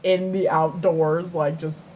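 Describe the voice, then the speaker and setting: A woman's voice: low-pitched, flat delivery, slow, dark tone, slight background noise, low-quality recording. A woman co-hosting a podcast in casual chat, rambling and repeating herself as she tells things. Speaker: podcast co-host.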